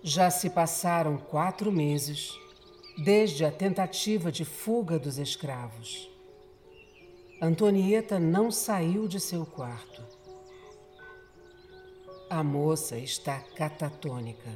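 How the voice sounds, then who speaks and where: Female narrator, Brazilian, grave radio-soap storytelling, very slow delivery, music underneath. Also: deep voice, lively intonation, darkish tone. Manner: solemn, measured phrasing.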